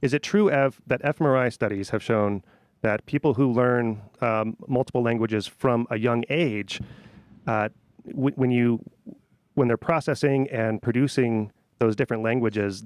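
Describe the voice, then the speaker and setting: A man hosts a podcast in a mid-pitched voice, steering the talk with casual, probing questions. He restarts his sentences now and then. The sound is dry and close. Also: dry recording. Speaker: podcast host. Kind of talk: conversational podcast interview.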